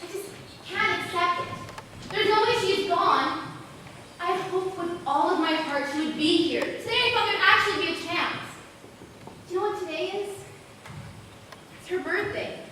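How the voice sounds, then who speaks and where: Young woman acting gentle grieving teenage girl, high voice, wistful, distant noisy stage microphone. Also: moderate pace, long pauses, heavy reverb. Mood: desperate, grieving. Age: teenager or young adult. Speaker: student actor. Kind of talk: school stage play acting.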